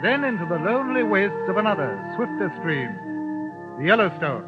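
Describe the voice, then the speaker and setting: An actor playing a polite, dryly understated English gentleman reporter in a 1950s radio drama, with an English accent. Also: high-pitched, big pitch swings, moderate pace. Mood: reflective. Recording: dull lo-fi 1950s radio recording with music.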